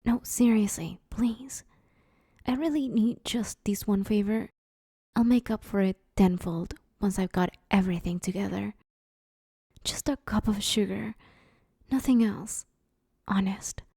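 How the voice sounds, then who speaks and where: A woman's voice: medium pitch, fairly even intonation, unhurried, pauses often, mellow, husky, no room sound, clean studio sound. A woman playing an awkward, broke but friendly supervillain neighbor in a roleplay audio drama, rambling casually and hesitantly as she angles for favors. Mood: pleading.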